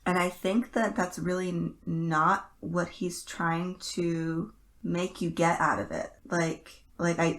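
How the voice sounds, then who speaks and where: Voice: medium pitch, a bit bright. A woman co-hosting a podcast in casual analytical chat, emphatic and repeating words to stress a point. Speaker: podcast co-host.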